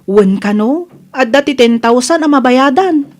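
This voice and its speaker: A woman's voice: sits high, lively intonation, measured pace, a little mellow. A woman playing a modest, cautious village housewife, polite and hesitant, with a Filipino Ilocano accent in a radio comedy drama. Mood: wary.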